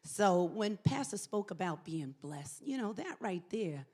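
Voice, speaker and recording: A woman's voice: medium pitch, sing-song, a little slow, a little mellow. Emphatic woman giving a casual testimonial. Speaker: church member giving a testimonial.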